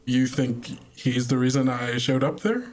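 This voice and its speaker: A man's voice: medium pitch, fairly even intonation, unhurried, darkish tone, close to an everyday voice. A man playing a blunt, impatient woman driver. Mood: flatly correcting.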